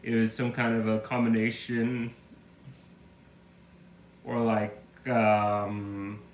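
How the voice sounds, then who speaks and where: Man with a lingering flu cough. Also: fairly low pitch. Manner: rambling storyteller, lots of "like" fillers. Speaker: live streamer. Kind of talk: casual live-stream chat. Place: room at home. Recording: echoey room with faint ambient hum.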